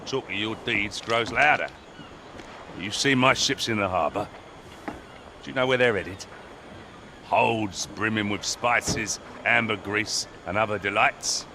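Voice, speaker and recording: Brash, gravelly pirate kingpin. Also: male voice, lowish voice, slow pace, pauses often, pushed and bright, a little hiss or hum, full character voice. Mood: boastful, scheming. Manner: crude, swaggering, commanding. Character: brash foul-mouthed pirate kingpin, boastful and domineering. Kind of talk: video game character acting.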